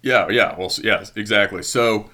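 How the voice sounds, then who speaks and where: A man's voice: fairly low pitch, normal speed, sharp tone. A man interviewing a guest in casual conversation, rambling with many hedges and fillers and laughing easily.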